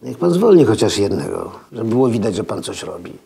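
Stern older male auditor for film drama: husky, expressive pitch, dry and pressing. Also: a little fast, full character voice. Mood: insistent. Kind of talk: naturalistic film drama dialogue.

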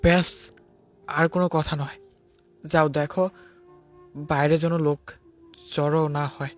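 A man's voice: a little high, level tone, measured pace, faint music behind, lightly acted. A man playing a stern but protective police officer in a melodramatic audio story. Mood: stern, commanding. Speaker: narrator and lead actor.

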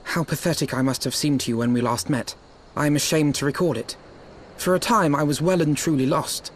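Actor as high-voiced earnest young noble. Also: male voice, a little hiss or hum, close to an everyday voice. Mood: solemn resolve. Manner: formal, measured, courtly. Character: earnest, well-bred young male noble. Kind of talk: dramatic video game acting.

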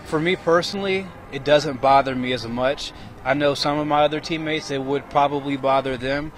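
A man in his thirties, a former player, giving measured, reflective analysis on live TV from an outdoor stadium at night into a handheld mic. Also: a little high, fairly even intonation. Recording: faint stadium noise outdoors.

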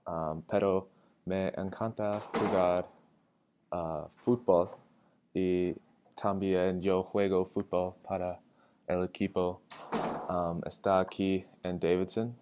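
An eighteen-year-old American man, a native English speaker, giving a halting self-introduction in learner's Spanish and searching for words. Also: sits low, a little mellow, clean tone. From Atlanta, United States. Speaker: college student. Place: indoor room with tall windows. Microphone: webcam framing with wired earphones.

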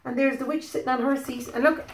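Preschool storyteller: Irish woman, thirties, highish voice, expressive and questioning, roomy indoor recording. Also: fast. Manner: expressive, questioning, simple child-directed talk. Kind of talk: animated children's story reading with asides. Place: living room, indoors. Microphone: phone or webcam at about arm's length. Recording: echoey living room.

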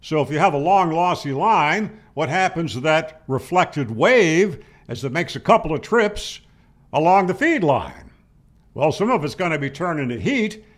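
An older man giving an explanatory tutorial, speaking plainly and emphatically and answering his own questions. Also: a little high. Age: older, 60s or 70s. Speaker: amateur radio instructor, host. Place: home room, indoors. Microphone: studio microphone on boom arm, close.

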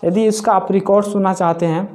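Young guy talking to camera, pretty high voice, warning people. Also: fairly even intonation, fairly quick, darkish tone, faint music behind. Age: 20s. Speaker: video presenter. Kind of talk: scam warning addressed to camera.

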